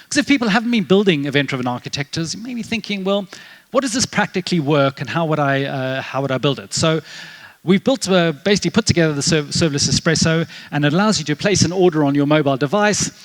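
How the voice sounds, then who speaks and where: Fast-talking South African man on stage. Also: a little high. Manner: chatty, self-deprecating, with many fillers and restarts. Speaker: conference speaker, developer advocate. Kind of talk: conference talk on stage. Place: large converted warehouse venue.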